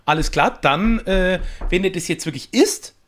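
A man's voice: high-pitched, animated, normal speed, a bit bright. A man chatting casually and colloquially, with plenty of slang, as an improv player on a podcast. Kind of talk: casual chat.

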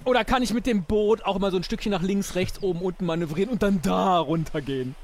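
A man's voice: high voice, quick pace, a little mellow. A man casually improvising as a player in a role-play audio adventure, chatty and self-deprecating.

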